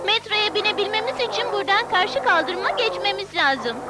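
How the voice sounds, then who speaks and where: A woman's voice: very high voice, lively intonation, normal speed. A woman voicing a friendly, matter-of-fact young city girl in a Turkish cartoon dub. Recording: old VCD dub with music.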